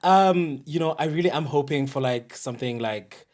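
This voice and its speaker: A South African interview guest with a medium-pitched voice. The talk is casual and slangy, with drawn-out phrases that feel their way reflectively through each thought. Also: a little mellow. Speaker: guest, DJ and producer.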